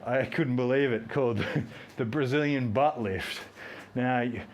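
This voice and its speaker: A man's voice: mid-range voice, measured pace. A man, hosting a fitness live stream, rambling and joking while he does squats and counts reps aloud. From Australia. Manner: talks while doing squats.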